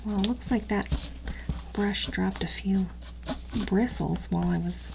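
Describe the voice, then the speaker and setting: A woman speaking softly and often whispering, with a breathy, halting, unhurried delivery, as an instructor in an ASMR training roleplay. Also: mid-range voice, background noise.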